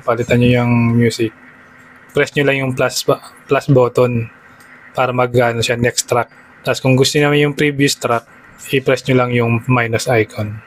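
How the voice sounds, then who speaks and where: A man's voice: medium pitch, a little hiss or hum, poor audio. A man with a Filipino accent narrating a step-by-step product tutorial for a vlog in a plain, conversational, instructional way. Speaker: motorcycle vlogger giving a product tutorial.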